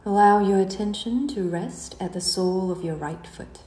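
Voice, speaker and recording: A woman guiding a meditation in a slow, soothing delivery, letting the ends of her phrases trail away softly. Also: medium pitch. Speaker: guided meditation instructor. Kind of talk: slow guided relaxation narration. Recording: roomy echo, faint background noise.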